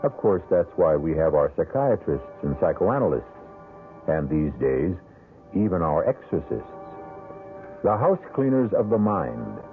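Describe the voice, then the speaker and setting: A male host giving scripted, measured and solemn narration for a 1970s radio mystery show, in a tinny radio broadcast. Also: low-pitched, big pitch swings, soft background music.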